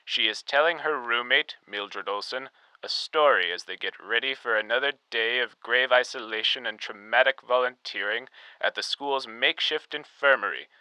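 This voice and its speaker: A man acting as a 1938 radio announcer, very bright and forceful, with crisp diction. His narration is formal, theatrical and grave, recorded close and dry. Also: mid-range voice, moderate pace, dry recording, rough recording. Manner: crisp, formal, dramatic delivery.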